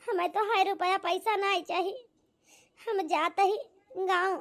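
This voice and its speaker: A cartoon old village mother played by a woman, meek and self-sacrificing, with an Awadhi accent. Her voice is extremely high and bright, pitched up like a cartoon. She pleads humbly and addresses her child tenderly. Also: steady tone, a little slow. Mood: hurt, resigned. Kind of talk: amateur cartoon comedy-drama acting.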